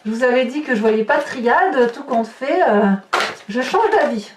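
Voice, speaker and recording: A woman with a slightly high voice gives an improvised tarot reading to her audience. She comments card by card with exclamatory, astonished asides. The sound is clearly roomy and a little distant from the microphone, with faint noise behind it. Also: expressive, normal speed. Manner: exclamatory, astonished asides while turning cards. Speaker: tarot reader and channel host. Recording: roomy echo, distant mic, background noise.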